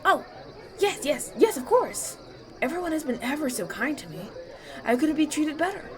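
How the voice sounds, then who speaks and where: A man's voice: very high voice, very animated, normal speed. A man playing a polite, nervous young human farm boy in a fantasy audio roleplay, earnest and hesitant. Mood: eager, polite. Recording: banquet crowd chatter behind.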